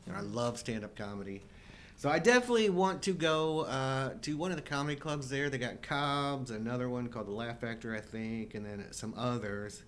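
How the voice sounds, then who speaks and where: A man's voice: mid-range voice, brisk, a bit bright, slight background noise. A middle-aged man co-hosting a live show with casual, playful banter and wry joking.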